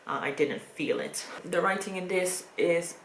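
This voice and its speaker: A woman speaking English, casually reviewing books in a thoughtful, hesitant way with frequent pauses. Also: lowish voice. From Netherlands. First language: Dutch. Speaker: book reviewer. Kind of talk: casual book review vlog. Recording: roomy echo, faint background noise.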